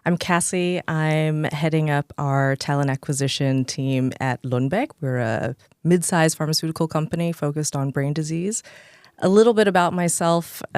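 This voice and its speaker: A woman's voice: low voice, darkish tone, smooth, no room sound, clean studio sound. A woman from Los Angeles with an American accent, a guest on an interview podcast, speaking in a friendly and fluent way with frequent restarts. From Los Angeles, United States. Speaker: head of talent acquisition, guest.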